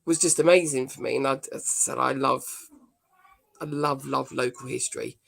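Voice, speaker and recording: A woman's voice: rumbling low, steady tone, normal speed, gravelly, slightly rough. A middle-aged British woman chatting casually as a co-host on a video call. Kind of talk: casual chat. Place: indoor room, video call.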